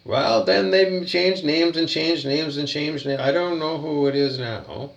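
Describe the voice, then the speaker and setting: A man's voice: on the high side, unhurried, creaky. A Canadian man rambling through a profane rant, full of restarts and fillers. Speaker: hotel guest complaining.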